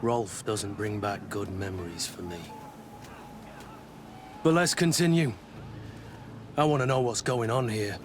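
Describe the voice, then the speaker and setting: An actor playing a brooding, suspicious veteran soldier in a video game, with a terse and blunt way of talking. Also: male voice, fairly low pitch, measured pace, pauses often, a little hiss or hum, close to an everyday voice. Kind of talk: video game dialogue acting.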